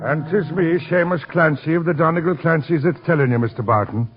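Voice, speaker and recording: A man's voice: a little high, brisk, breathy edge, faint music behind. A man playing a superstitious, talkative Irish policeman with an Irish accent and folksy lilt in 1930s radio drama style. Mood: chatty, eerie. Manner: folksy, lilting, garrulous. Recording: muffled old radio recording.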